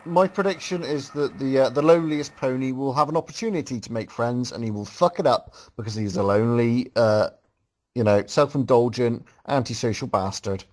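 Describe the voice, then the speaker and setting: A man's voice: medium pitch, brisk, a little mellow. A man reading a story aloud casually as host, breaking off to make joking, mocking comments. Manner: breaks off to mock the writing.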